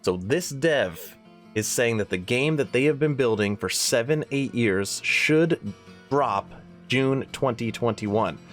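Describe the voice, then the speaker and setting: A man with a cold hosts a gaming news show. His voice is nasal and stuffy, and he sits at an everyday middle pitch. He talks earnestly in a plain conversational way, with faint music playing underneath. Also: lively intonation, takes it slowly, a bit bright. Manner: earnest, conversational, reads a forum post aloud. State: has a cold. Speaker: gaming channel host.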